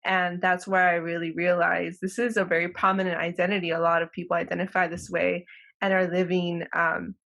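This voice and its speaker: Woman professor, 30s, from LA, relaxed and chatty with small laughs, lowish voice, video-call mic. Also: a little fast, gravelly. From Los Angeles County, California. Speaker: guest, professor. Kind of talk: casual interview conversation. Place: home room, video call. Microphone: video call computer mic.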